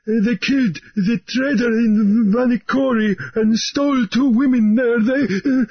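A man's voice: very high voice, a little slow, husky, lo-fi sound, pitched above the performer's own voice, exaggerated voice. A man voicing a terrified harelipped island cook who splutters and strains to get his words out. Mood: frantic pleading.

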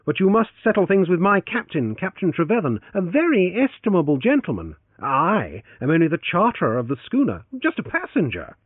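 A man voicing a wry young gentleman adventurer who banters mockingly, heard through a tinny, dry narrowband recording. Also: high-pitched, huge pitch swings, brisk, few pauses, dry recording, pitched above the performer's own voice, exaggerated voice. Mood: breezy.

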